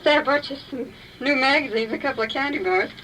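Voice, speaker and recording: A woman's voice: high voice, quick pace, fairly bright tone. A woman acting the part of a reluctant informant in a vintage radio police drama. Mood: soothing, solicitous. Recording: muffled, distant vintage radio with light noise.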